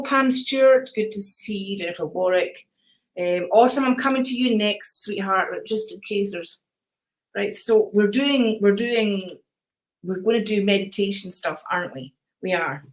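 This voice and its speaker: An older woman with a Scottish accent, hosting a live stream of card readings and chatting warmly to viewers, calling them darling and sweetheart in a calm, soothing voice. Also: medium pitch, unhurried, poor audio.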